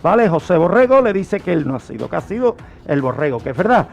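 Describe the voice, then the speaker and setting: A man's voice: high-pitched, sing-song, fast talker, creaky. A man with an accent from Spain explains a crime story on the radio in a didactic way, posing rhetorical questions. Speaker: true-crime contributor on a radio programme. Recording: music playing under the voice. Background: music.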